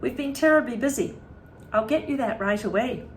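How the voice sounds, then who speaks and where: A woman voicing an impatient, sharp-tongued mother in a storybook reading. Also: a little high, moderate pace, a little hiss or hum, voice pushed up, lightly acted. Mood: flustered.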